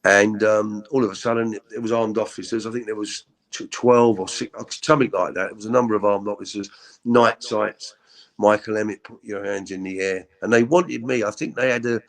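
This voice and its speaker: A middle-aged man with a deep voice, telling his story as an interview guest in a halting, candid way with many repeats. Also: steady tone. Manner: halting, repeats words, frequent ums.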